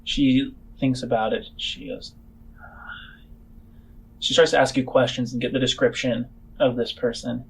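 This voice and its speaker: Male game master, improvised narration, halting descriptive phrases, slightly rough voice, mid pitch. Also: level tone, low-quality recording.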